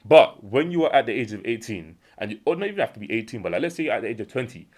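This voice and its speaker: A man's voice: very animated, rapid-fire. A young man with a British accent giving an earnest motivational monologue, repeating key points for emphasis and acting out little imagined exchanges. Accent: British English. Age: young adult. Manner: acts out imagined dialogue in a lower voice. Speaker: self-improvement vlogger.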